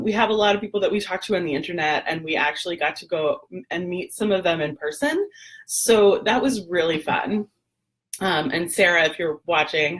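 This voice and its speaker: A woman, hoarse and gravelly, chatting casually on a live video with lots of ums and throat clearing. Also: lowish voice. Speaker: doula and lactation educator, livestream host.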